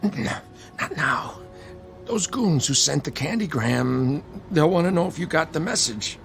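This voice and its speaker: An actor playing a weary, protective tough guy who is gravely injured, weak and in pain, in a radio drama. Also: fairly high pitch, lively intonation, a little slow, fairly bright tone, soft background music, full character voice.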